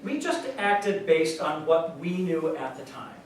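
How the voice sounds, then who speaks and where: A man's voice: on the high side, a little slow, a little hiss or hum, lightly acted. A man playing an easygoing, slightly muddled father in his sixties, chatting in a staged reading, recorded far off in an echoey hall. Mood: chatty, amiable. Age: 60s. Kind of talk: staged reading, conversational monologue.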